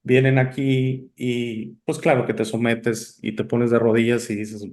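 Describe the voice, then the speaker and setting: A man's voice: medium pitch, flat delivery, measured pace, darkish tone. A man born in Italy hosting a casual interview, talking in a chatty, rambling way with many fillers and restarts. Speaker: interview host.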